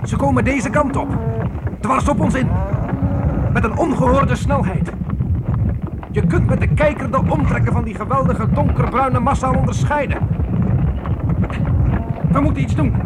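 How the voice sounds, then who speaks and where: Wagon-train leader, radio play. Very high-pitched, urgent, barking orders, loud background noise. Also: male voice, normal speed, long pauses. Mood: alarmed. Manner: urgent, declamatory shouting of orders. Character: commanding father leading a pioneer wagon train. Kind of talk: radio drama acting. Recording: very noisy old radio play, windy.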